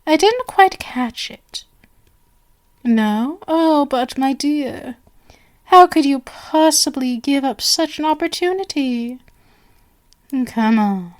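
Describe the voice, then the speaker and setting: A woman's voice: high-pitched, slow, long pauses. A woman playing a genial but sinister stage magician, possessive and doting, with flowery, coaxing endearments in an ASMR roleplay. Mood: grandly delighted.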